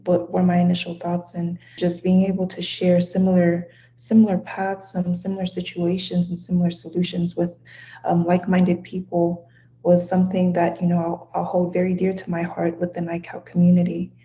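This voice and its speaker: Woman, low mellow voice, earnest and fond, dull lo-fi sound. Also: monotone, moderate pace. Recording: dull lo-fi audio.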